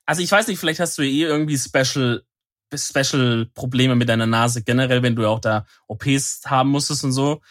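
A man's voice: mid-range voice, fairly bright tone, no echo, clean studio sound. A young man co-hosting a podcast, slightly nasal from a cold, telling rambling stories full of slang and fillers. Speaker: podcast co-host. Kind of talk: casual chat.

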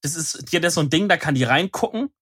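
Young guy, bit nasal from a cold, rambles with slang, kinda high voice, dry close mic. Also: lively intonation, fast, a bit bright, breathy edge, no room sound. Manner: rambling slangy storytelling with lots of fillers. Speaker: podcast co-host. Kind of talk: casual chat.

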